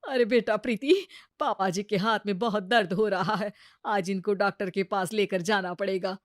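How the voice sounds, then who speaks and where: A woman playing a patient, weary older mother-in-law, homely and gently pleading, in a story dialogue. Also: mid-range voice, normal speed, no room sound, studio quality, natural voice. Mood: worried. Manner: homely, long-suffering. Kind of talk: acted dialogue in a Hindi moral story.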